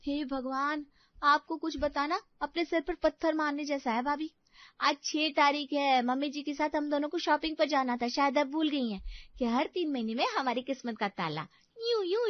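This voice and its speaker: A woman's voice: high-pitched, normal speed, no room sound, muffled recording, close to an everyday voice. A woman voicing a cheeky, scheming younger sister-in-law who talks in a bossy, persuasive, exasperated way.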